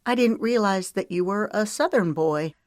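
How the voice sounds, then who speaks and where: Narrator voicing a stubborn, emotional woman, lifted pitch. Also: moderate pace, a little mellow, no echo, clean studio sound, close to an everyday voice. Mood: curious, dry. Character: stubborn, emotional woman pleading for her freedom.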